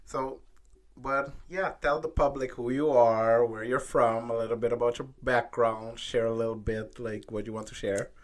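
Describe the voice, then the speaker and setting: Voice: medium pitch, fairly even intonation, moderate pace. A podcast host leading a casual chat and interviewing a guest in a giggly, teasing way.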